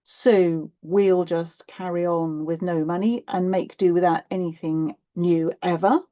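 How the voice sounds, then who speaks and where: Audiobook role: indignant thirteen-year-old girl, played by a woman, sulky and complaining, soft-edged tone, slightly muffled recording. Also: on the low side, steady tone, unhurried, close to an everyday voice. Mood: frustrated. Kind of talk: acted children's audiobook dialogue.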